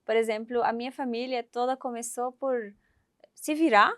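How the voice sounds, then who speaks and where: A woman in her thirties from Syria, speaking in a fairly high voice. She tells her story with animation, acting out the voices of the people in it. The sound is dry and close. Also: moderate pace, no room sound. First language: Arabic. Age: 30s. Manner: animated, acts out quoted voices, laughs while telling. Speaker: guest. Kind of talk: storytelling interview.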